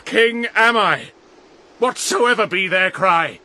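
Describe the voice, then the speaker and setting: A man's voice: sits high, slow, sharp tone, exaggerated voice. An actor playing a dying, grief-stricken noble king in a solemn, stately verse radio drama. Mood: proud, impassioned.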